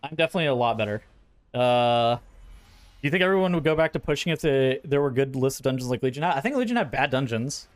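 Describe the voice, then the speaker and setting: A man's voice: mid-range voice, lively intonation, fast talker. A man giving casual, blunt gameplay commentary with swearing, thinking aloud as he explains strategy, his voice a little tired. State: voice tiring, sore throat. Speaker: streamer.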